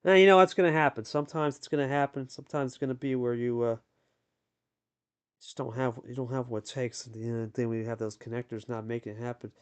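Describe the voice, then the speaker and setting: A man's voice: medium pitch, fairly even intonation, fast talker, clear, resonant tone. A man giving live sports-style commentary on a card game as host, talking colloquially and addressing players like buddies.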